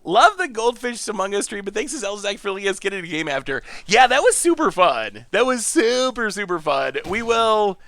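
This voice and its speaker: A man from upstate New York, hosting a live game stream and chatting with viewers in an excitable, laughing way. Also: high-pitched, a little fast, hardly any pauses, no room sound. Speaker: streamer host.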